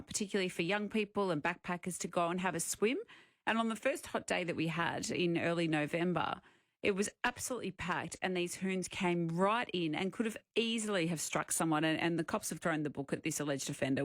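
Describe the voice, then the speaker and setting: A woman's voice: on the low side, dry recording. An Australian woman hosting a radio talkback show in a casual, chatty style. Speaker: radio drive show host. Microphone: close studio microphone.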